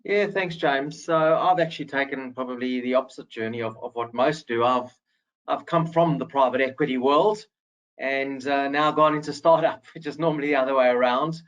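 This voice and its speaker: A man's voice: mid-range voice, normal speed, dull audio. A man speaking as a startup founder on a webinar panel, upbeat and fluent with some restarts.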